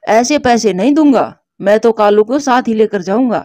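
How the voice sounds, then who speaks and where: A woman's voice: fairly high pitch, animated, normal speed, darkish tone, no echo, clean studio sound, lightly acted. A woman voicing an earnest, insistent male pigeon neighbour in a cartoon.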